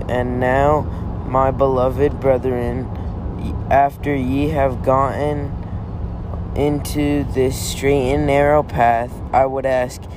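A man reading scripture aloud in a measured way, with an occasional stumble. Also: medium pitch. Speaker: reader in a family scripture reading. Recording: clear vehicle and road noise.

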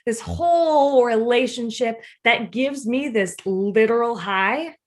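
A woman's voice: on the high side, slow pace. A woman co-hosting a faith podcast, chatting casually and expressively with short interjections and asides. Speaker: podcast co-host.

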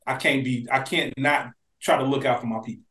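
A man's voice: mid-range voice, steady tone, brisk, bright, forceful tone, echoey room. A man co-hosting a review podcast in casual chat, speaking emphatically with slang and profanity. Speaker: podcast co-host reviewing a TV drama.

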